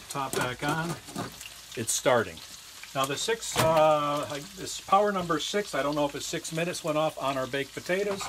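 A man in his sixties giving a casual, halting cooking demonstration as the host in a small kitchen. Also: mid-range voice. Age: 60s. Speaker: home cook and cooking show host. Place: small trailer kitchen, indoors. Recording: noisy kitchen, frying sizzle behind voice.

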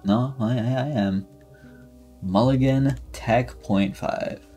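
A man streaming a video game with casual, reactive commentary, his delivery excitable and moving between muttered asides and shouts. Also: mid-range voice, takes it slowly. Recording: game music behind the voice. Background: video game music.

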